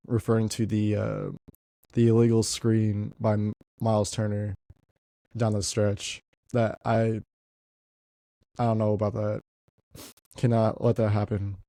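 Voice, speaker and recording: Congested young man, low even voice, mellow tone, halting and repetitive, casual podcast chat. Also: no room sound. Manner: halting, repeats words often. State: congested, stuffy. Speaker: podcast host. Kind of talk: casual basketball commentary.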